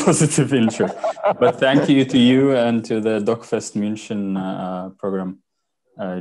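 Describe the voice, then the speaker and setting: A man's voice: on the low side, darkish tone. A young man who was born in Norway and raised in Sudan, a documentary filmmaker answering interview questions over a video call, searching for words with many fillers. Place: home room with shelves. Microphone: headset on video call.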